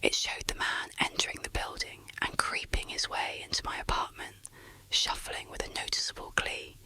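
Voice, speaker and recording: Bright whispering ASMR horror storyteller. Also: low-quality recording. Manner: whispering, deliberate dramatic storytelling.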